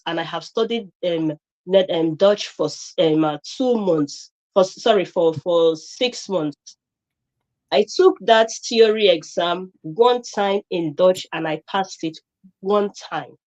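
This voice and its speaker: A woman's voice: on the low side, lo-fi sound. A woman from Nigeria with a Nigerian accent, a guest on a live stream, talking emphatically with many fillers and restarts.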